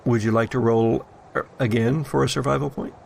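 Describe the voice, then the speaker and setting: A man runs a tabletop horror game as its game master. His voice is mid-pitched with a creaky grain, and his narration moves slowly with dramatic pauses, over slight background noise. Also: steady tone. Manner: deliberate, dramatic pausing when narrating.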